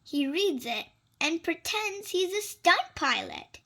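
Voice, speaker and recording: Slow, high-pitched, animated storybook reader. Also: gravelly. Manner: animated, simple exclamations and asides to young listeners. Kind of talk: expressive read-aloud for young children.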